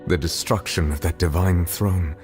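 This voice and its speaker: A man acting as a solemn male dragon sovereign and judge from a fantasy game. His voice is low and his manner formal, reserved and dignified. Clear background music runs under his deliberate lines. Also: steady tone, a little mellow, close to an everyday voice. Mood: grave, probing. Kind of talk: dramatic video game voice acting. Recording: music behind the voice.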